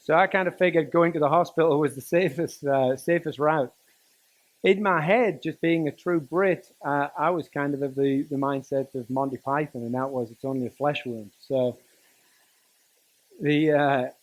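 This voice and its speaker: A middle-aged man from England, giving a personal talk to students in a conversational, halting way with dry humour. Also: on the high side, no room sound, rough recording. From Newcastle, northern England. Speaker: teacher and coach giving a speech to students. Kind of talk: personal motivational talk, storytelling.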